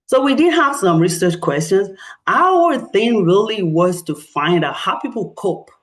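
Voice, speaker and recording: A middle-aged woman with a Nigerian accent, a professor presenting research at a community meeting with animated storytelling and frequent fillers. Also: medium pitch, unhurried.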